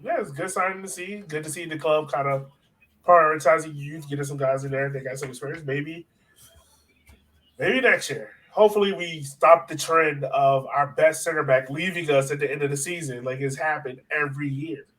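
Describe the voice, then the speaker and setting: Higher-pitched American man hosting a podcast. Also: moderate pace, fairly bright tone, rough recording. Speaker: podcast host. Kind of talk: casual sports podcast chat.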